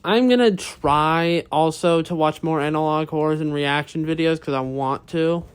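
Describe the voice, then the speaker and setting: High-voiced young-sounding casual male reactor. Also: moderate pace, clear, resonant tone. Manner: joking, slangy asides. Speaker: reaction video host.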